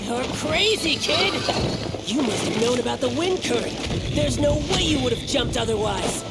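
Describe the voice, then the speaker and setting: Sneering fantasy outlaw, high voice, condescending, vengeful, game dialogue, loud music behind. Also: female voice, lively intonation, normal speed, rough recording. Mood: incredulous. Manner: taunting, condescending. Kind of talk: video game voice acting.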